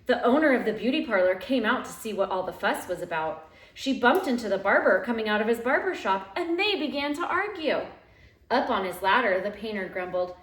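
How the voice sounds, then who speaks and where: A woman reading a children's picture book aloud at home in an expressive, dramatic way, drawing out key phrases. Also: on the high side, brisk. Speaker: teacher reading aloud to young pupils. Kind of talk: animated children's storybook read-aloud. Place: living room at home. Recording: roomy, echoey home recording.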